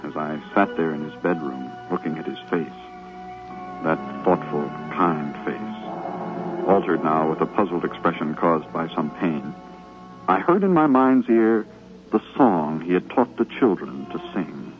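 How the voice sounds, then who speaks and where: An actor playing a devoted grandson narrating fond memories in a reverent, dramatic manner for a 1950s radio drama. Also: male voice, sits low, a little slow. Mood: reverent, tender. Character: devoted grown grandchild fondly remembering grandfather. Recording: muffled 1950s radio recording, music behind. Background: music.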